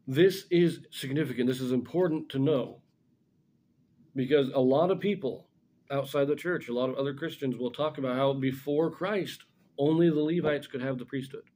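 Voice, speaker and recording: A middle-aged man giving an informal religious lesson as a scripture commentator, explaining things deliberately and correcting himself as he goes. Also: mid-range voice, dark tone. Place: small indoor room in front of a blackboard.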